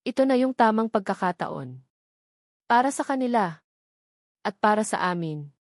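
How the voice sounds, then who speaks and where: A woman reading a scripted first-person love story as narrator, with sentimental, measured phrasing. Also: mid-range voice, animated, no room sound, studio quality.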